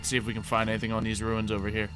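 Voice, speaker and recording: Casual male gamer over loud game music. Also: lowish voice, monotone, very fast. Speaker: gamer playing a Minecraft roleplay series. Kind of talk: casual gameplay commentary.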